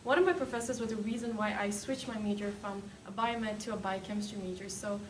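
A woman's voice: mid-range voice, fast talker. Chatty young woman, echoey and off-mic. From Nepal and Tibet. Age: young adult. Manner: chatty, informal, emphatic. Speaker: student tour guide. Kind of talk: casual unscripted campus tour. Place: indoor hallway and classroom. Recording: echoey room, distant mic, faint noise.

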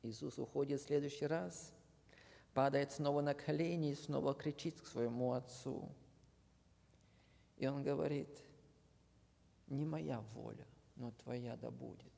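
Slow, gravelly preacher in a reverberant hall. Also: male voice, medium pitch, fairly even intonation. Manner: slow, earnest, dropping to a hush at phrase ends. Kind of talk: sermon. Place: church hall.

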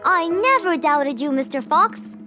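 A small, sweet animal from a children's cartoon. The voice is extremely high and lively in pitch. It is polite and effusive, over clear background music. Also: female voice, unhurried, heavily acted. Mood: eager. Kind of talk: children's animated story dialogue.

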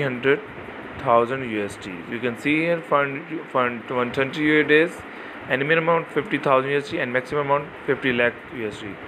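Halting man, noisy phone recording. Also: medium pitch. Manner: halting, repeats "you can see here" and "so guys". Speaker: crypto site walkthrough narrator. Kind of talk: screen-recorded tutorial voice-over.

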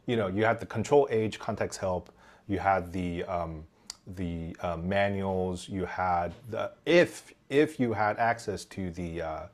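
Guest from Taiwan, forties, lowish voice; lively storyteller who restarts mid-sentence; headset mic on a video call. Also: male voice, a little slow. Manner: animated, gestures while talking, frequent restarts. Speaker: podcast guest, engineer. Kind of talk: casual interview storytelling. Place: home room indoors.

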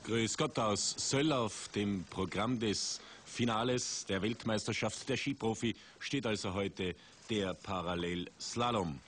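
A man commentating a ski race for TV, measured and rising in excitement at big moments. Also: on the low side. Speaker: sports commentator. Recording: 1980s TV broadcast with outdoor noise.

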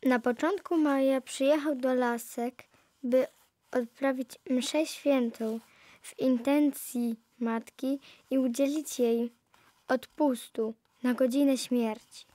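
Female narrator, high mellow voice, reading a historical audio play. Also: fairly even intonation, slow pace, dry recording.

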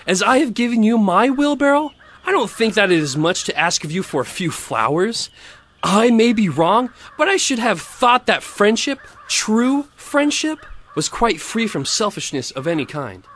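An actor playing a pompous, self-righteous, grasping miller in an audio storybook drama, preachy in manner. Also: male voice, high voice, sing-song, moderate pace, fairly bright tone, slight background noise. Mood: affronted. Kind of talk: audio theatre storybook acting.

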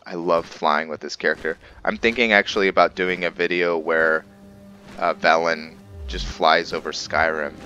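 A man with a low, bright voice commentates a roleplay video game. He talks slowly and muses with frequent pauses. Game music plays faintly behind him. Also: steady tone, lo-fi sound. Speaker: gameplay video host. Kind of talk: roleplay game commentary with some reading aloud.

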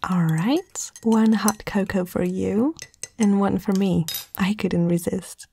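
A woman whispering softly and tenderly in a breathy voice, in a reassuring ASMR comfort roleplay, close to the microphone. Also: mid-range voice, steady tone, slow, a little hiss or hum.

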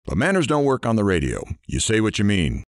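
A sarcastic, irreverent morning radio DJ from an audio drama, played by a man. His low voice jumps about with showman energy. The sound is dry and close. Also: wildly swooping pitch, fairly quick, no echo. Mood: teasing. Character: brash, sarcastic morning shock-jock radio DJ. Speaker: radio DJ host.

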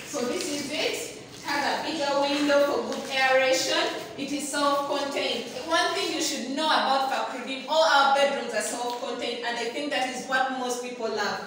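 A woman's voice: high voice, steady tone, normal speed, hardly any pauses, a bit bright. A young Ugandan woman with a Ugandan accent, leading an enthusiastic walking tour of an unfinished apartment building as a property sales guide. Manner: enthusiastic, showing rooms with wide gestures. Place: unfinished concrete apartment building, indoors and outdoors. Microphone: phone camera held by someone following her, at a distance. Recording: echoey bare rooms, distant, noisy.

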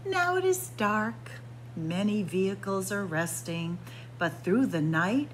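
Woman reading slowly, expressively to young children. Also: medium pitch, a little hiss or hum. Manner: expressive sing-song delivery with drawn-out, emphasized words. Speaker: children's librarian and storyteller. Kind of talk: picture book read-aloud for young children. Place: library room with bookshelves, indoors.

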